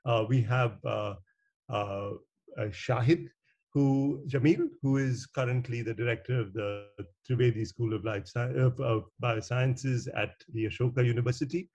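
A man moderating a virtual panel, introducing speakers in a halting, searching manner over a video call. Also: mid-range voice, fairly even intonation, darkish tone, lo-fi sound. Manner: halting, searching for words, frequent hesitations. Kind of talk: semi-formal spoken introductions at a virtual meeting. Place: indoor room on a video call. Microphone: video call microphone.

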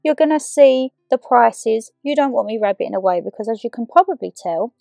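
A young woman with a slightly croaky voice, chatting in a rambling, giggly way as a shopping vlogger over a voiceover. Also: a little high, expressive, brisk, mellow, no room sound, studio quality. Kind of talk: chatty vlog talk and voiceover.

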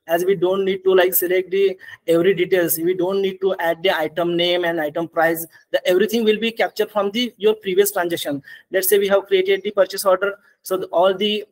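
A man with an Indian accent walking through software slides as a webinar presenter, in halting, repetitive phrasing. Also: high voice, poor audio. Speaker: webinar presenter, ERP consultant. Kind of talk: software demonstration and slide walkthrough. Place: indoor room, on a video call.

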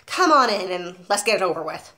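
A woman's voice: lowish voice, sing-song, fairly quick, sharp tone. A woman reading as a snappish rude little man. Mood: irritated. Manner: curt, dismissive, snappish. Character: rude, ratty little man, impatient father.

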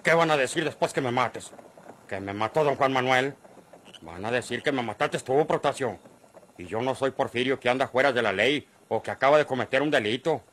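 A man's voice: medium pitch, a little fast, a bit bright, a little hiss or hum, close to an everyday voice. An actor playing a shrewd, cornered ranch man in a Mexican radio drama, middle-aged, persuasive and defiant, with a rural Mexican accent. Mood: defiant persuading. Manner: earnest, persuasive, rustic speech.

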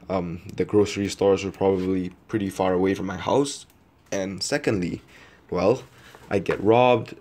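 A man from Indonesia speaks in a fairly low voice, as in a personal video essay. He thinks aloud in a reflective way, placing deliberate pauses and repeating phrases for emphasis. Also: a little mellow.

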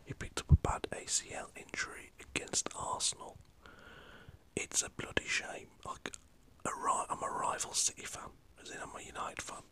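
A man's voice: moderate pace, a bit bright, poor audio. A man from the north of England with a northern English accent, whispering a rambling, chatty football talk for an ASMR channel. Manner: rambling, chatty, swears mildly. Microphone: camera set up in front of him.

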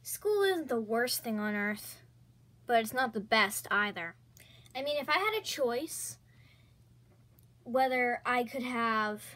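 A woman voicing a whiny schoolgirl who begs to go to school, then schemes and fakes a cough to stay home, in a comedy skit. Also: a little high. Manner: pleading, excitable, theatrical fake coughing. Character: whiny schoolgirl pleading, then faking sick.

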